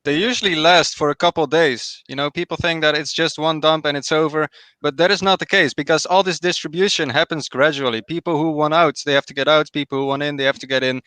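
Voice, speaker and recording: A man with a Dutch accent, a guest trader calmly explaining market charts on a live stream. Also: a little high, no echo. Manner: measured, explanatory, confident.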